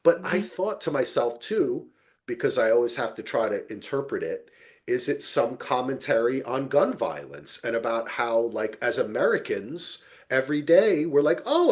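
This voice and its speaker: Male podcast host, mid-pitched, highly animated. Emphatic, sweary, conversational, prone to acting out reactions. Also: normal speed. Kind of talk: casual TV recap chat.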